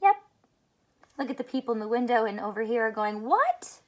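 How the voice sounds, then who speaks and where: A woman's voice: on the high side, fairly even intonation, quick pace, darkish tone, a little hiss or hum. A woman teacher reading a storybook aloud to young children, expressively, with questions and asides spoken straight to them. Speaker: elementary school teacher reading aloud to students.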